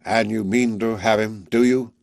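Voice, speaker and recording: A man doing a gentle old village father. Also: lowish voice, steady tone, gravelly, dry recording. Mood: subdued. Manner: subdued, slow, tender.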